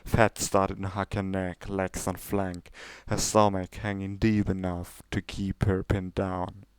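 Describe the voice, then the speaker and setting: A man narrates a scripted story in a fairly low voice. The tone is very smooth and clear, and the sound is close and dry, suited to dramatic fiction. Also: little pitch movement, slow pace, dry recording. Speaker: story reader.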